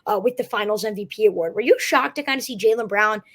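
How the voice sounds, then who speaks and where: A woman hosting a sports show, asking long, steady questions with casual fillers. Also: medium pitch, quick pace. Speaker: show host, interviewer.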